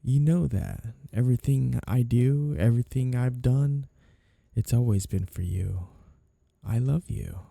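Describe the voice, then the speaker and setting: A man acts out a mafia boss for an intimate audio roleplay, with a very dark, mellow voice that crackles with fry. He is flirty and two-faced, lingering slowly over his words. Every courtesy is smooth and teasing, and carries a possessive, lovesick menace. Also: mid-range voice, no room sound, studio quality. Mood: intense, lovesick. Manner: smooth-talking, teasing, theatrically polite while threatening. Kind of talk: audio roleplay ASMR acting.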